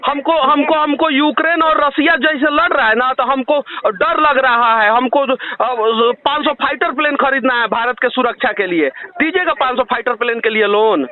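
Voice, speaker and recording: A man's voice: extremely high pitch, normal speed, hardly any pauses. A man with a Bihari Hindi accent arguing insistently on a phone complaint, firing sarcastic rhetorical questions. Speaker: caller confronting a loan telemarketer. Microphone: phone line. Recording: tinny, narrowband phone call recording.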